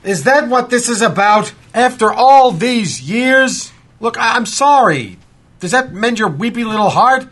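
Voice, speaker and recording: An actor as a small-town sheriff with a high, forceful male voice. He is blunt and folksy, quick to bristle and defend his turf in an audio drama. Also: lively intonation, heavily acted. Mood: angry, defensive. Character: hot-tempered small-town sheriff, gruff and defensive man.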